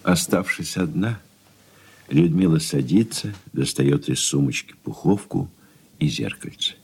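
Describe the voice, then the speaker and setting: A man's voice: deep voice, expressive, measured pace, gravelly, slight background noise. A man narrating the scripted stage directions of a radio drama.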